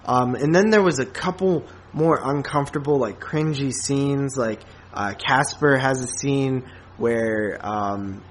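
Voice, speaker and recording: A man's voice: medium pitch, slight background noise. Guy doing a laid-out casual movie review podcast, slangy, keeps circling back on his words. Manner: informal, slangy, halting, circles back over phrases. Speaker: podcast host. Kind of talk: casual film review chat.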